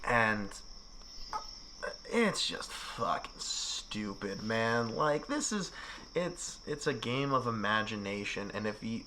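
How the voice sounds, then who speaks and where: A man's voice: fairly low pitch, very animated, slow, bright, forceful tone, slight background noise, low-quality recording. A man talking in a casual podcast chat, ranting with emphasis and frequent swearing. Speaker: podcast guest.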